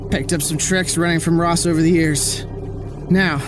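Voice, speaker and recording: A man's voice: on the high side, fairly even intonation, normal speed, noisy background, natural voice. An actor playing a nervous male scientist on the run in an audio drama, speaking with a wry, jittery edge.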